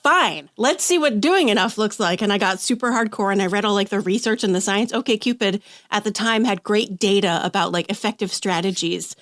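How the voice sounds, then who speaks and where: A woman guest on a podcast, telling personal stories in a chatty way with quick asides, her throat a little rough after an illness. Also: mid-range voice, a bit bright, no echo, clean studio sound. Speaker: guest, author. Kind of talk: casual podcast conversation.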